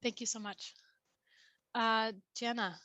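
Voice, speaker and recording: A woman with a crisp, bright voice hosts a video call. The sound is close and dry, and she hands the floor to each speaker with short, polite cues. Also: on the high side, level tone, slow, no echo. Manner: brief, polite turn-taking cues. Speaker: host running introductions. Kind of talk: meeting facilitation.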